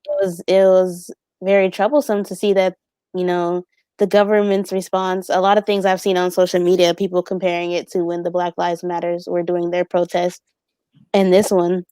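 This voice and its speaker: A woman's voice: lowish voice, level tone, normal speed, warm tone, clear, resonant tone, no echo. A young woman on a discussion panel, talking in a hesitant, thoughtful way with many fillers and restarts. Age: young adult. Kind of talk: casual reflective discussion.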